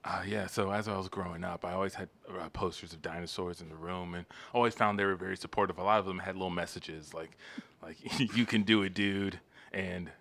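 A man playing a needy, deadpan grown man. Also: low voice, brisk, creaky. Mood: earnest. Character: needy grown man demanding dinosaur support. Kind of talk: improv comedy scene.

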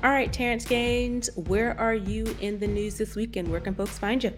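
A woman's voice: a little high, fairly even intonation, normal speed, faint music behind. A woman co-hosting a podcast in casual conversation, speaking informally and stressing her points by repetition. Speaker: podcast co-host.

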